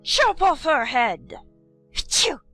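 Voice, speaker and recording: A hoarse, growling Duchess, bad-tempered and brusque. She drags her words out slowly in a high-set voice that lurches up and down, snapping rudely as she goes. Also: big pitch swings, pushed and bright, faint music behind, heavily acted. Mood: irritable. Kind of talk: dramatized storybook audiobook.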